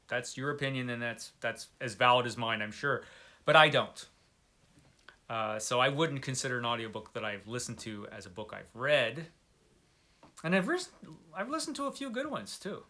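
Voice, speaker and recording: A man with a very bright, forceful voice at an average pitch chats informally like an online book reviewer. He states his opinions emphatically and circles back to restate them for stress. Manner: emphatic, repeats points for stress. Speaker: booktuber hosting a book tag video. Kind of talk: casual chat to camera, opinionated book talk.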